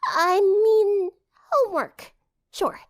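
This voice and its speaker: Casting: loud, boastful tomboy girl played by a woman, pitched well up, huffy and cocky, for audiobook drama. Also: takes it slowly. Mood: excited, evasive.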